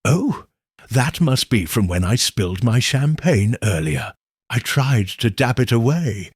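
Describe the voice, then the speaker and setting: Timid young lady witness played by a male narrator, hesitant and tremulous, slow, animated pitch, audiobook mystery. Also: breathy edge, no echo, clean studio sound. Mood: anxious, pleading. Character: timid, anxious, tearful young gentlewoman witness.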